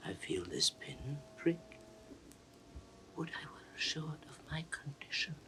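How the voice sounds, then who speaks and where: A man playing a frail, confused king in his eighties, humbled and remorseful, in classical Shakespeare audio drama style, halting and plaintive. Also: a little high, big pitch swings, bright, forceful tone, whispered, heavily acted. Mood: bewildered.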